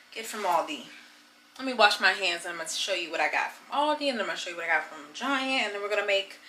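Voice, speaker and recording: A candid woman in her thirties talks to her viewers in a relaxed vlog, laughing easily as she goes. Her voice is strikingly bright and sits at an ordinary pitch. A faint hiss runs under the recording. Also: poor audio. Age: 30s. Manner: chatty, candid, laughs often. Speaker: vlogger. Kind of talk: casual vlog chat.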